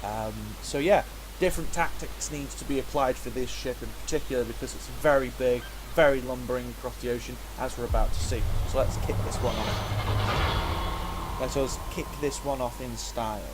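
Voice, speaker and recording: A man's voice: mid-range voice, moderate pace, background noise. A man chatting through a game he is playing, self-mocking and talkative, sounding stuffy from a cold. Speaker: gaming channel host. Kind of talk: casual gameplay commentary.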